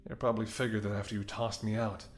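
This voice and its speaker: A man's voice: on the low side, level tone, fast, soft background music, close to an everyday voice. A man playing a menacing, smirking mercenary ex-bodyguard in an audio roleplay, with a taunting, sardonic way of talking. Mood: suspicious, realizing. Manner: taunting, sardonic, swearing, drops to whispers. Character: menacing, smirking male mercenary ex-bodyguard holding someone at gunpoint. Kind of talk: dramatic audio roleplay spoken to the listener.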